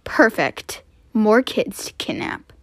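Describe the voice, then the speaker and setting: Menacing villain father for a toy-app drama, cowardly underneath, very animated, dry sound female performer. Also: fairly high pitch, takes it slowly, no room sound, pitched below the performer's own voice, exaggerated voice. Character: menacing male kidnapper father, cowardly when caught. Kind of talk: amateur toy-app roleplay voice acting.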